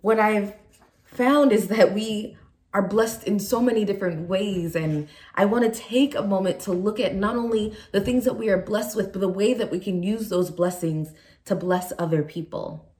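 A woman's voice: medium pitch, normal speed, a little mellow. A young adult woman youth pastor from Nigeria, raised in California, giving an earnest chapel sermon with prayer and personal stories.

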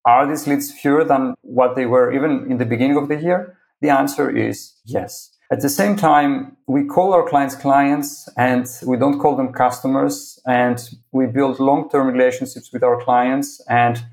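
A man's voice: mid-range voice, fairly even intonation, measured pace, hardly any pauses, a little mellow. A man from Greece with a Greek accent, a podcast guest answering thoughtfully and often checking in with "right?". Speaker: agency founder, podcast guest. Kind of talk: conversational interview.

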